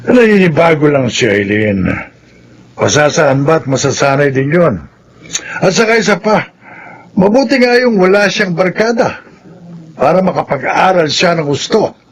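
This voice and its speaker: A man's voice: a little high, lively intonation, moderate pace, slightly rough. A Filipino man playing a firm, dismissive grandfather in a radio drama.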